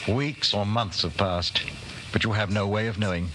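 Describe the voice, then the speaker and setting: An actor playing a grave, measured narrator in a 1940s radio drama. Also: male voice, lowish voice, fairly even intonation, very noisy, lightly acted. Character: grave male narrator addressing the listener as the prisoner. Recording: old 1940s radio broadcast with music.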